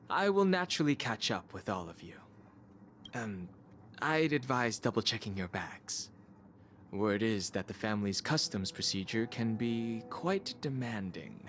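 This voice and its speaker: A man voicing a calm, reserved, dutiful young guard in a video game, speaking in a measured, polite way over music. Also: on the low side. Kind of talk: video game character acting.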